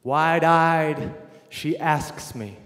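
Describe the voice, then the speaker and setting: Young guy doing rhyming spoken word poetry, loud then soft, big reverb. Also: fairly high pitch, extremely slow, over loud music. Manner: rhythmic rhyming delivery, shifting loudness. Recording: echoey hall.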